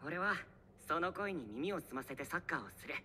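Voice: mid-range voice, expressive, brisk, sharp tone, a little hiss or hum. An actor voicing a playful, slightly eerie teenage boy soccer player in a Japanese anime, airy and uncanny. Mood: dreamy, playful. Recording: music behind the dialogue.

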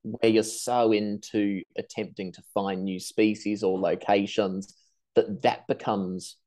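Mellow-voiced young man, measured answers. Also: on the low side, flat delivery. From New Zealand, raised in Tasmania. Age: young adult. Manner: articulate, measured. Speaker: guest, seabird researcher. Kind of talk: conversational interview answers. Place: room at home, video call.

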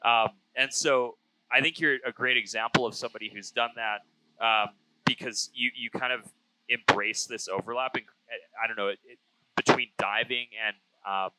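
A man's voice: fairly low pitch, heavy background noise. A man around thirty hosting a casual, conversational interview, speaking into an aviation headset mic. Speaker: interview host, pilot. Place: small plane cockpit in flight. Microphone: aviation headset boom. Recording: close aircraft headset intercom.

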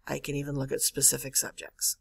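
A woman's voice: rumbling low, completely flat delivery, fairly quick, gravelly, slightly rough. A woman narrating an instructional screencast as a librarian, explaining step by step with emphasis on key words. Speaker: college librarian giving a catalog tutorial. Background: screen-recorded voice-over.

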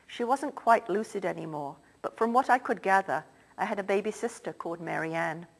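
A woman's voice: medium pitch, fairly quick, gravelly, slightly rough, rough recording. A woman reading the part of an older, wealthy Irish-born theatre man who talks in a confiding, lilting way. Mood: somber. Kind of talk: audiobook character reading.

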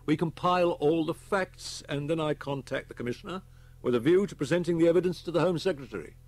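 A man voicing a firm, high-pitched British police chief. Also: fast talker, a little mellow, no echo, lightly acted. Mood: matter-of-fact. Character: brisk, authoritative male police chief inspector. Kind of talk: audiobook character voice.

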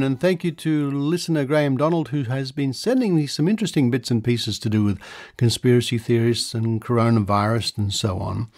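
A man's voice: mid-range voice, darkish tone. A man hosting a podcast in a semi-scripted monologue, recorded on a close studio microphone in a treated room. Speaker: podcast host. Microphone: close studio condenser microphone.

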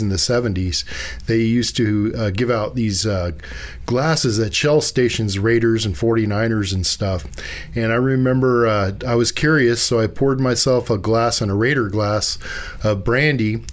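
Earnest middle-aged man telling his story. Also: on the low side. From Northern California. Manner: earnest, reflective, with frequent fillers. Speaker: guest. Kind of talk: personal storytelling in an interview.

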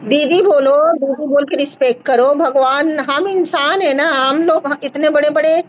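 A woman in her thirties hosting a live video call at home, calmly advising a caller and checking in with short questions. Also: high-pitched, unhurried, highs cut off, slight background noise. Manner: reassuring, repeats short checks like "है ना?". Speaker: host advising a caller on a skin remedy. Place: indoor home room with curtains. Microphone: phone front camera on a video call.

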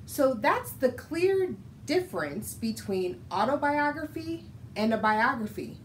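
An American woman in her thirties hosting a children's storytime, speaking in an instructive, expressive read-aloud style. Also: sits high, a little slow, slight background noise, rough recording. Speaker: children's storytime host and reader. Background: music.

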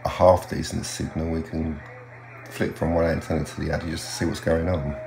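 An older man talking casually and haltingly in a low-key, unhurried way, like a hobbyist vlogging at home. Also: flat delivery, creaky. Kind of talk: casual hobby vlog commentary. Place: small home radio room, indoors. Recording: roomy sound with faint hum.

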